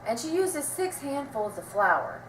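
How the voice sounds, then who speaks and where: A woman's voice: high-pitched, big pitch swings, a little slow, voice pushed up. A woman voicing an earnest, naive little boy in a storybook. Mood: earnest confidence. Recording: roomy, distant mic, faint noise.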